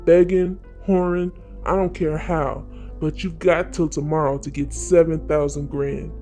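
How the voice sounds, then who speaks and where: A man's voice: a little high, flat delivery, measured pace, mellow, faint music behind. A man playing a callous, threatening yakuza loan shark in a fan-made motion comic, speaking in a cold, menacing way.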